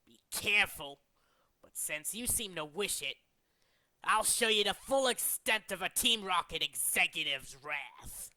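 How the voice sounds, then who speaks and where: A man plays a cruel video game henchman of high rank, cold and condescending. His delivery is tense and deliberate, swinging theatrically between quiet menace and hard threats. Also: animated, no echo, exaggerated voice, poor audio. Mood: menacing. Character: cold, cruel, menacing male gang executive. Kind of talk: video game villain character acting.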